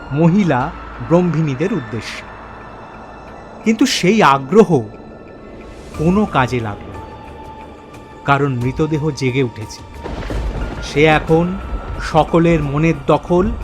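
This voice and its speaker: A man playing a bewildered narrator in a first-person horror story, speaking theatrically in halting, suspenseful repeated phrases. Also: on the high side. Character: bewildered man haunted by forgotten childhood memories.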